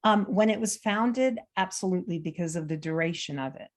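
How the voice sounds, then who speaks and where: A woman's voice: on the low side, a little fast. A middle-aged American woman, a guest in a conversational interview, talking freely with many fillers and restarts. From United States. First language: Armenian. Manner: chatty, frequent fillers and restarts.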